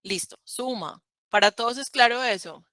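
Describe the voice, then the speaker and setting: A woman's voice: fairly high pitch, moderate pace, bright, forceful tone, dry recording. Chatty woman teaching math. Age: 30s. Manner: conversational, checks with "¿cierto?". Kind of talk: online class lecture.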